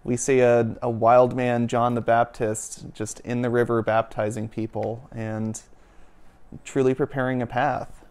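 A young man, a children and families minister, reading a picture book aloud in a gentle storybook cadence, seated on a living-room couch with a lapel mic. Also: mid-range voice, flat delivery, measured pace, clean tone. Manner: gentle storybook cadence, softening toward the end.

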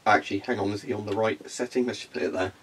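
A man's voice: fairly low pitch, steady tone, racing, husky. Phlegmy young man demoing gear. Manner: chatty, rambling, frequent ums. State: congested, phlegmy from a cold. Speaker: hobbyist video host. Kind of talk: casual hands-on demonstration. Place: carpeted room indoors.

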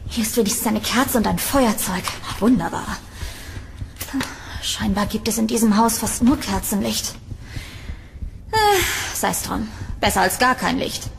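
A woman's voice: medium pitch, unhurried, vocal fry, pauses often, slight background noise. An actress playing a curious, polite, uneasy young woman tenant in a German horror audio drama, hesitant and slightly sardonic. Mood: muttering, irritated.

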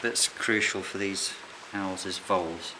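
A middle-aged man, a licensed bird ringer, calmly explaining his fieldwork while handling birds in a farm barn. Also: low-pitched, measured pace. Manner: calm, quiet, hands-on explaining with fillers. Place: open farm barn on straw, outdoors air. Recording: noisy outdoor camera recording.

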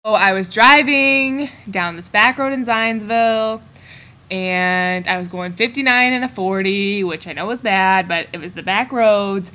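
A woman's voice: mid-range voice, measured pace, sharp tone. A teenage girl co-hosting a casual webcam chat at home, telling stories in a laid-back way. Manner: laid-back, chatty storyteller. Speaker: co-host. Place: bedroom at home, indoors. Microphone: built-in laptop webcam mic.

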